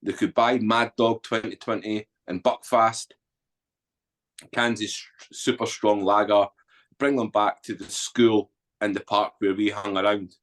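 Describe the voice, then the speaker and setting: A low-voiced man with an Edinburgh Scottish accent, in his forties. He tells his story candidly as a podcast guest, blunt and earthy, often pausing on an um. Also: little pitch movement, a bit bright. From Scotland. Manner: blunt, earthy, unguarded talk with frequent ums. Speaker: guest, author and former soldier.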